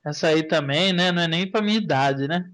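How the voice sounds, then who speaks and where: A man's voice: on the high side, a little fast, a crowd in the background. A Brazilian man co-hosting a podcast in casual chat, telling anecdotes with slang and swearing. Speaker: podcast co-host.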